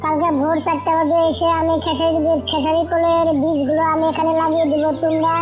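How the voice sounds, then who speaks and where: A woman's voice: very high voice, little pitch movement, fairly quick, muffled recording. A woman voicing an anxious, pleading mother tailorbird in a cartoon, earnest in manner. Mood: grateful. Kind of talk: cartoon character dialogue. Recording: music behind the voice.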